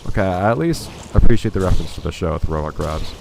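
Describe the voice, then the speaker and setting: A man's voice: sits low, very animated, fast talker, creaky, noisy background. A man with a stuffy, congested voice giving dry, wry commentary while playing a video game. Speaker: let's play host. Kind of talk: casual gameplay commentary.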